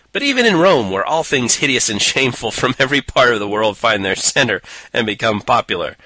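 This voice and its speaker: A man's voice: medium pitch, sharp tone, dry recording, rough recording. A man co-hosting a casual podcast, picking apart a book with a sarcastic, mocking manner and frequent laughter. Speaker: podcast co-host. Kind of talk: casual chat reviewing a book.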